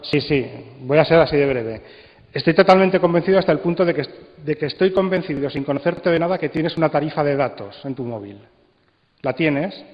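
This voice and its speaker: A man gives spontaneous answers as a conference speaker, firm and argumentative, pressing points with questions. His voice is a little high for a man. It carries long hall reverb and sounds slightly muffled. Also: a little fast. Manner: assertive, argumentative, explains with rhetorical questions. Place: large indoor congress hall.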